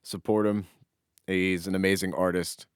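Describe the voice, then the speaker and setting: A man's voice: low-pitched, little pitch movement, moderate pace, clear, resonant tone, dry recording, studio quality. A man co-hosting a podcast in casual comedic banter, emphatic and playful. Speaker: podcast co-host.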